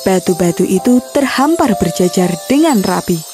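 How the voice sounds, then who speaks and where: A woman's voice: mid-range voice, animated, normal speed, music in the background. A woman narrating a scripted children's story as storyteller.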